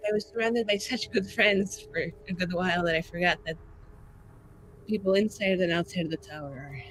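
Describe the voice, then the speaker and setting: A woman's voice: lowish voice, brisk, a bit bright, rough recording. Woman playing a tabletop rpg, sweary and informal, bit of noise behind her. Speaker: tabletop roleplaying game player. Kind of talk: casual in-character roleplay.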